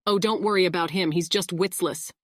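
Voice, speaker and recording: A woman's voice: fairly low pitch, fairly even intonation, a little fast, dry recording, studio quality, lightly acted. A woman playing a no-nonsense military intelligence officer in an audiobook, matter-of-fact and authoritative. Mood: friendly. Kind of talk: audiobook character acting.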